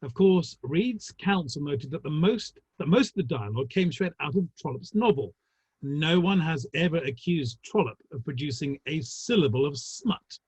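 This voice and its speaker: A man playing a wry, gossipy, worldly Victorian theatrical gentleman in a play reading, with formal phrasing and dry wit. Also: on the high side, animated, normal speed, slightly rough, no room sound, rough recording. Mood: wry, amused. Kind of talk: online dramatic play reading.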